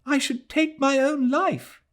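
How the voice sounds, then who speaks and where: A man's voice: very high voice, fairly even intonation, slow pace, a bit bright, pitched above the performer's own voice, exaggerated voice. A man voicing an earnest young Englishwoman in a novel, anxious and apologetic, pleading hesitantly.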